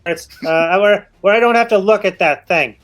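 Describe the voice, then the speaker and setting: A woman's voice: mid-range voice, moderate pace. Woman chatting casually as a player. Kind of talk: casual tabletop banter.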